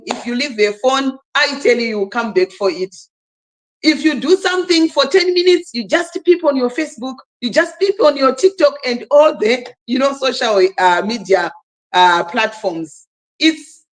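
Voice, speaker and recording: A woman's voice: a little high, low-quality recording. A woman from Zimbabwe hosting a live stream panel, speaking emphatically and urging the listeners on. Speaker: livestream host. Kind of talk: casual live stream talk.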